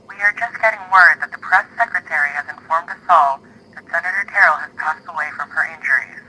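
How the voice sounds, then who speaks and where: Man acting a piercingly bright, grave news reporter. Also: on the high side, fast talker, low-quality recording. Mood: grave, urgent. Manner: grave, formal announcing. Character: solemn, urgent male TV news reporter on scene. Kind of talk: live breaking-news report in audio drama.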